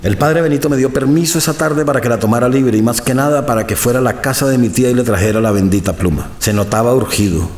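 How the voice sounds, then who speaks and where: A man's voice: medium pitch, quick pace, hardly any pauses, darkish tone, roomy sound, soft background music, lightly acted. An actor playing a priest who narrates his own scheme in a confiding storytelling manner, with a Colombian accent, in an audio drama. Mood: confiding, intrigued. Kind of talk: scripted first-person audio drama narration.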